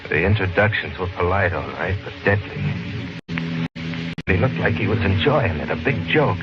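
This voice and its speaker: An actor playing a nervous working-class cab driver, plain-spoken and hesitant, in an old-time radio drama. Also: male voice, low voice, expressive, normal speed, loud music behind the voice. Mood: tense narration. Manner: plain colloquial, hesitant, uneasy. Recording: dull, noisy old radio recording.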